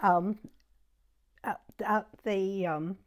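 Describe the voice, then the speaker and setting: A woman in her nineties with a southern English accent, reminiscing informally in an interview in a chatty, halting way with plenty of "you know". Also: mid-range voice, steady tone. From Dorset, England. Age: 90s. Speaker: oral history interviewee.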